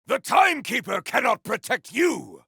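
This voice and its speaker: An actor voicing a bombastic video game warrior with a rough, gravelly voice and grandiose, formal delivery. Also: male voice, very high voice, very animated, normal speed, fairly bright tone, no echo, clean studio sound, exaggerated voice. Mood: battle fury. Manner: grandiose, theatrical, formal uncontracted speech. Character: bombastic warrior bellowing battle cries with grim relish. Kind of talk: video game combat barks.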